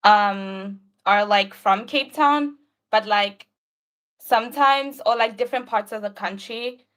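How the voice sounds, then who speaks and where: A young woman with a South African accent talks casually over a video call. She rambles in an informal, conversational way, peppering her sentences with "like". Her voice sits at a medium pitch. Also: takes it slowly, a bit bright. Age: young adult. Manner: rambling, frequent "like". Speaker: livestream guest, university student. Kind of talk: casual chat.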